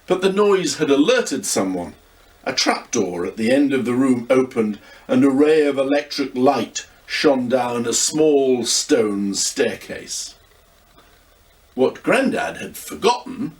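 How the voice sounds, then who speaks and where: A man's voice: mid-range voice, expressive, takes it slowly. A man reads a children's story aloud with lots of expression, turning now and then to speak to the listener. His voice swings widely and sounds a little distant from the mic.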